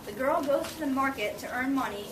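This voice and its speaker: High-voiced young woman narrating, distant and echoey. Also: brisk. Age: young adult. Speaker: puppet show narrator. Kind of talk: storybook narration for children. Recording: echoey room, distant mic, noisy background.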